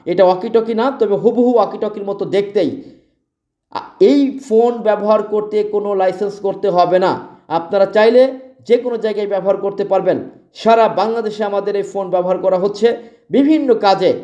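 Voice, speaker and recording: A man with a Bangladeshi accent delivering an emphatic, repetitive promotional sales pitch as a product advertiser. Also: warm tone. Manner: emphatic, repetitive, listing features.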